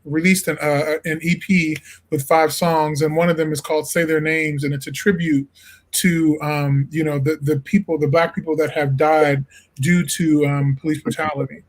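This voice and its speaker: A jazz singer from Illinois talks as an interview guest in a fairly high male voice. He is relaxed and thoughtful, and says "you know" a lot. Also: normal speed. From Illinois, USA. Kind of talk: casual interview talk.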